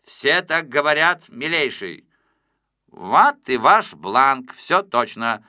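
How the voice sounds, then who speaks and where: A man's voice: mid-range voice, expressive, slow pace, pushed and bright, dry recording, studio quality, exaggerated voice. A man voicing a smug, officious little police interrogator reciting official details in an audio storybook.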